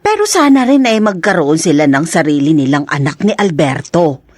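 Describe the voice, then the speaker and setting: A woman's voice: low-pitched, sing-song, normal speed, a little mellow, no room sound. An actress playing a wistful, earnest middle-aged mother in a radio drama. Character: middle-aged mother, wistful, hopeful for her married daughter.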